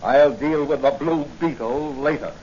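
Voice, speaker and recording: An actor playing a cool, cynical racketeer who gives curt orders, in 1940s radio style. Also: male voice, on the high side, measured pace, darkish tone, lightly acted. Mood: coldly commanding. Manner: curt, sardonic. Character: cool cynical male racketeer giving orders, coldly taunting. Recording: muffled noisy 1940 radio recording.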